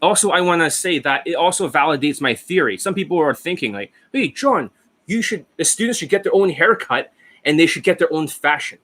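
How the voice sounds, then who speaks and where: Hoarse, brash man losing his voice. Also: fairly high pitch, expressive, brisk, a bit bright. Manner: brash, profane, boastful, rambling with coughs. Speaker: dating coach. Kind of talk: casual monologue over a screen share. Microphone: desk microphone.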